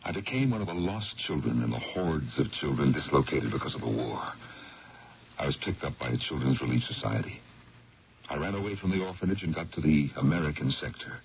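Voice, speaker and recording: An actor playing a troubled lawyer in a 1970s radio drama, a man burdened and ashamed, speaking haltingly as if confessing. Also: extremely low pitch, vocal fry. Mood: reflective, pained. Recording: dull, hissy old radio broadcast.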